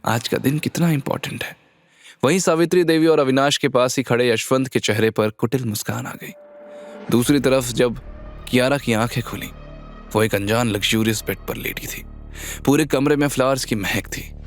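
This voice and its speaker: A man's voice: mid-range voice, normal speed. Male narrator of a suspenseful audio drama, music underneath. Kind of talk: dramatic scripted story narration.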